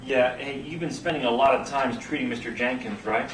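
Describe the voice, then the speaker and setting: A man's voice: medium pitch, a little fast, close to an everyday voice. A man playing a flustered, boyish young hospital doctor in a sitcom table read, earnest and prone to stammering. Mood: cocky. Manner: animated, stammering, earnest comic delivery. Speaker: actor at a comedy series table read. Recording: echoey room from far off, noisy.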